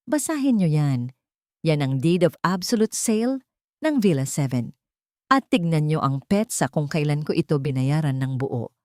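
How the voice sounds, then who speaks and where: A woman's voice: low-pitched, animated, moderate pace, a little mellow, no echo, studio quality. A woman voicing a wronged wife in a dramatic story, cold, threatening and resolute as she accuses. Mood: defiant. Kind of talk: dramatic storybook dialogue reading.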